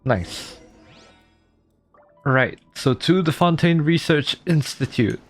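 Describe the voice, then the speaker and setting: A woman's voice: deep voice, slow, soft background music. A woman game streamer giving casual, brief reaction commentary.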